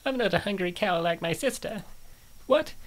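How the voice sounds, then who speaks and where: A woman's voice: lowish voice, expressive, normal speed, lightly acted, rough recording. A woman playing a flustered, indignant young noblewoman in a comic dub, stammering and protesting.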